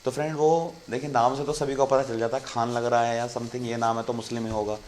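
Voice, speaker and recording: Male channel host, casual explainer, mid-pitched, conversational, light background noise. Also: little pitch movement, fairly quick, a little mellow. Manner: conversational, keeps saying "friend" to viewers.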